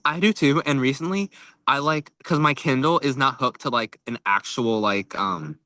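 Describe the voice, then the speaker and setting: A man's voice: mid-range voice, moderate pace, sharp tone, no echo. A young man co-hosting a podcast, chatting informally and peppering his talk with "like.". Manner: chatty, informal, frequent 'like'. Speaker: podcast co-host. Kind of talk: casual podcast chat.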